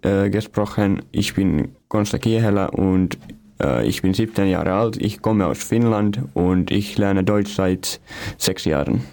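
Slow Finnish-accented teen boy. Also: low voice, warm tone. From Finland. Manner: halting, many fillers. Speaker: exchange student.

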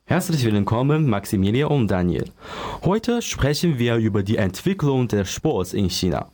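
A man's voice: mid-range voice, very animated, moderate pace, darkish tone. A young man hosting a student interview, asking polite, scripted questions. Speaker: student host. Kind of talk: moderating a school interview.

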